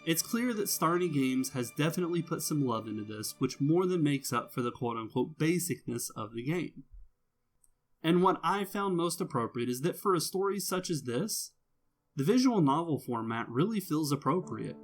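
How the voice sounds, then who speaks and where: A man reads a scripted video game review as the channel's host, measured and phrase by phrase, with emphatic pauses. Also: on the high side, lively intonation. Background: music under the voice.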